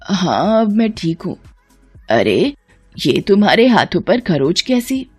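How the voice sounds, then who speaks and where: Storybook mother-in-law, played by a female narrator: dramatic, emotional, over soft music. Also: medium pitch, very animated, slow pace, slightly rough, full character voice. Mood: recovering, surprised. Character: haughty mother-in-law, scornful, later weak and remorseful.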